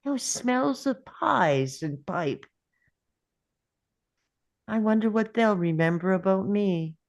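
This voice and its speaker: An actor at a script table read playing an elderly grandparent, reflective and tender, musing in halting phrases. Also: female voice, medium pitch, expressive. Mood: wistful, remembering.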